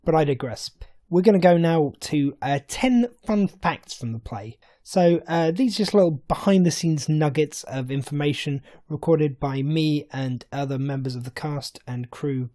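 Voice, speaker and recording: A man's voice: a little high, normal speed, dark tone. A man hosting, casually introducing behind-the-scenes items. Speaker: host.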